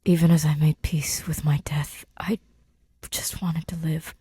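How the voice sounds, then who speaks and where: A woman voicing a weary, haunted male survivor in an audiobook, speaking low and haltingly with a breathy, bewildered manner. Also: little pitch movement, warm tone, full character voice. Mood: grim, weary.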